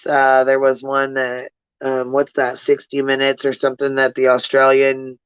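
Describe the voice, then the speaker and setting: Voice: mid-range voice, monotone, normal speed, clear, resonant tone, no room sound, highs cut off. A livestream host giving casual, blunt commentary to viewers in slangy, profanity-laced speech, often repeating points for emphasis.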